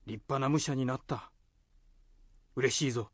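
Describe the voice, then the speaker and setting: A man's voice: mid-range voice, steady tone, normal speed, husky, dry recording, lightly acted. A man acting in a Japanese radio drama as a dignified, composed samurai commander, with lordly, archaic speech. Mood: dignified, approving.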